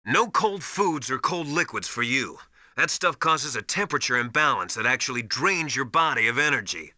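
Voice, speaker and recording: An actor playing a stern veteran military survival instructor in a video game, briskly lecturing with emphatic, commanding authority. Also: male voice, medium pitch, expressive, bright, forceful tone, no room sound, clean studio sound. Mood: stern, instructive. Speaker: mission survival adviser. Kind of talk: video game voice acting, instructional radio briefings.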